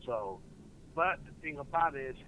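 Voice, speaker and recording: A man's voice: on the high side, animated, sharp tone, a little hiss or hum, poor audio. A man co-hosting a casual sports talk show, agreeing readily and repeating his points. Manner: quick, agreeable, repeats himself.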